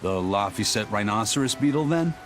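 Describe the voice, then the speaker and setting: Um ator interpretando um capitão pirata severo e orgulhoso, de fala firme e professoral. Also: male voice, fairly low pitch, expressive, fairly quick, a little hiss or hum, lightly acted. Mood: stern. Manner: stern, lecturing. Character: stern proud male pirate captain. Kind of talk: game dub acting.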